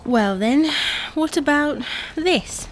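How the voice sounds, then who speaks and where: Casting: actress as practical, bossy wife for comedy audio drama, higher voice, sarcastic scolding, some background noise. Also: female voice, animated, slow, poor audio. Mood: impatient. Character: exasperated, nagging wife, practical and image-conscious.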